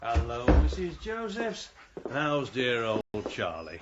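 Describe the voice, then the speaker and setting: An actor playing a kindly male country vet in a radio drama, soothing and gently hesitant. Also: medium pitch, huge pitch swings, slow pace, heavy background noise. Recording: dull radio recording, busy background.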